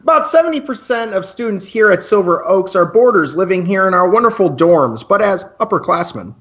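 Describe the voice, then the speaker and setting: High-voiced playful teenage boy radio host played by a man. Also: big pitch swings, fairly quick, fairly bright tone, highs cut off, exaggerated voice. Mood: upbeat. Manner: playful, wordy announcer patter. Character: male eleventh-grade student co-hosting a campus radio show, playful, wordy. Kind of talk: amateur radio play, chatty campus radio announcing.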